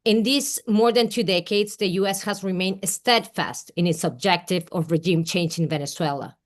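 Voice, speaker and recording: A woman from Peru giving an earnest, deliberate political speech at a rally over a remote call, with small restarts. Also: on the low side. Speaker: rally speaker. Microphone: remote video call.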